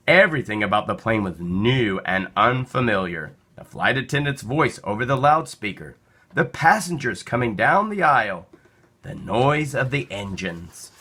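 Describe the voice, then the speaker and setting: A man reads a children's picture book aloud. His voice is bright and forward, and he takes his time, shaping the story with lively, expressive swings of pitch. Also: breathy edge. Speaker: storybook reader.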